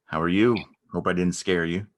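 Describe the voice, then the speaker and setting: A man's voice: low voice, steady tone, moderate pace, lightly acted. A man acting an awkward, earnest, unassuming beekeeper in a naturalistic stage reading, speaking in a hesitant, understated way. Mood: nervous, polite. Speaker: amateur or local theatre actor.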